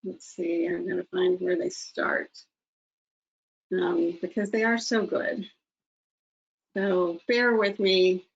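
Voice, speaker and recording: A woman leads an online training over a dull, lo-fi call line. Her voice carries a gravelly fry as she chats casually and apologizes for the technology. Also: mid-range voice, big pitch swings, slow pace, long pauses. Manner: chatty, apologetic about technical trouble. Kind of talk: casual presenting to a webinar. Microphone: video call.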